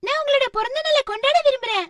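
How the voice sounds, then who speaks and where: A woman's voice: very high voice, quick pace, noisy background. A woman voicing an eager, sweet and stubborn little girl with childlike, pleading delivery in a children's cartoon dub. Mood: earnest. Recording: distant sound, loud children's music underneath.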